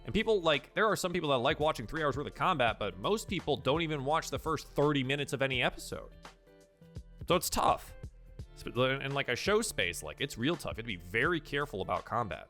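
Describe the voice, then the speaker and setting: Animated, bright-voiced man chatting casually on stream. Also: medium pitch, brisk, soft background music. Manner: emphatic, animated, repeats phrases for stress. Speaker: host, professional dungeon master giving advice. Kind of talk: casual livestream advice chat, answering viewer questions.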